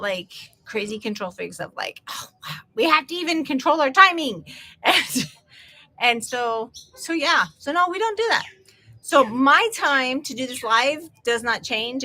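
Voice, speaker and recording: Bright-voiced chatty American woman. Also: on the high side, a little slow. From United States. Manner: chatty, laughs often, rambling asides. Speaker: livestream host. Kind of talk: casual livestream chat.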